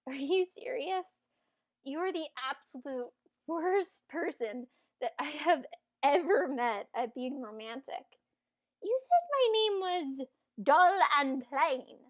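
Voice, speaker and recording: Woman acting high-voiced, guarded young fairytale heroine. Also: slow pace. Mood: teasing, exasperated. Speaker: voice actor in an audio drama. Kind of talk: fairytale audio drama acting.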